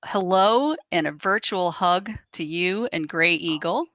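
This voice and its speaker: A woman co-hosting a stream, reading viewer comments aloud in a deliberate way. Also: fairly low pitch, fairly bright tone, no echo.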